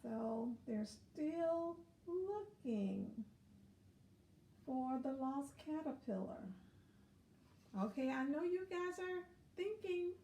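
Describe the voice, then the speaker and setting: A woman reading a children's story aloud expressively, pausing to encourage young listeners with questions. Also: sits high, lively intonation, mellow, a little hiss or hum. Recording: echoey room sound.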